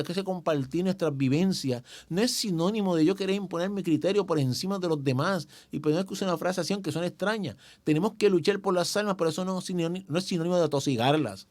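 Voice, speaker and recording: A man's voice: fairly high pitch, a little mellow. A male pastor teaching a Bible study in a didactic, conversational way, speaking directly to his listeners.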